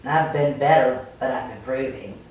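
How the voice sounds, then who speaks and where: A woman's voice: very deep voice, moderate pace, a little mellow, voice pushed down. A woman voicing an encouraging female adventurer from a story game, explaining and coaching. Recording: very echoey room, distant mic.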